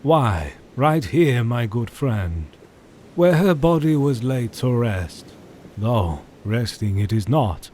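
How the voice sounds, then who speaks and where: A man playing a deliberate, portentous vampire-hunting professor. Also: medium pitch, very animated, darkish tone, slight background noise. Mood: grave, knowing. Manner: formal, portentous, commanding. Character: grave, learned male professor and vampire hunter. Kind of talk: audio drama acting, period speech.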